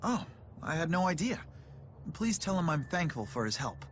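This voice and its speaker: A man's voice: on the high side, big pitch swings, normal speed, a little hiss or hum. An actor playing a polite, earnest young male doctor in a video game, courteous and conciliatory. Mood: mild, polite. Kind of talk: video game dialogue acting.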